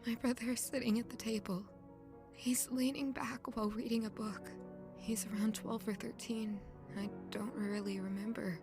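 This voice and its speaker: An actress playing a grieving, self-blaming young servant woman in an emotive, halting audio drama. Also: fairly high pitch, level tone, close to an everyday voice. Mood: wistful narration. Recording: music under the voice. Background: music.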